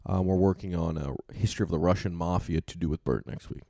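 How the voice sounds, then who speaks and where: A man's voice: low-pitched, quick pace, vocal fry, dark tone, no room sound. A man hosting a podcast, talking in a loose, rambling way with lots of fillers and casual swearing. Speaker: podcast host.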